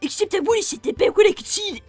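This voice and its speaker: A woman's voice: very high voice, very fast, no echo, soft background music. A woman voicing a blunt, bossy five-year-old fox brother, needling in improvised cartoon-style banter. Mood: impatient, bossy.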